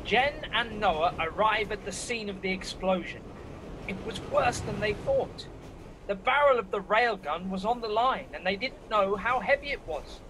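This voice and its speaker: Teenage male narrator, high-pitched, scripted radio-play storytelling, noisy background. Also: animated, moderate pace. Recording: clearly noisy background ambience.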